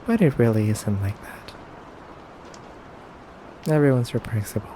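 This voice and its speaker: A man playing an aloof, condescending male vampire in an ASMR roleplay, measured and halting, often dropping toward a breathy whisper. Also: mid-range voice, expressive, warm tone, slight background noise, close to an everyday voice. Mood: cool, condescending. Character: aloof, condescending, elegant femboy vampire interrogator. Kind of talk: slow, soft ASMR roleplay monologue.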